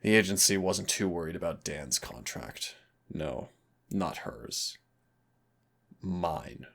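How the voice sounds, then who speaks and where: A man playing a teasing but stern demon hunter in an audio roleplay, speaking deliberately with dramatic pauses in a gravelly, husky voice. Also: low voice, animated, a bit bright, full character voice. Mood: grim, bitter. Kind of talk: audio roleplay acting, intimate second-person dialogue.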